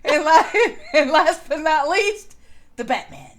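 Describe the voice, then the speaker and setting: A woman hosts a casual live online show. Her voice sits fairly high, and she takes her time, speaking at a distinctly slow, unhurried pace. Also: expressive. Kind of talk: casual live-stream chat.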